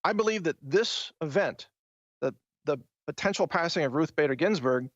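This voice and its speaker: A man's voice: a little high, lively intonation, normal speed, no room sound. An American man hosting a political talk show, speaking in an emphatic, opinionated, conversational monologue. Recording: dull, band-limited dry audio.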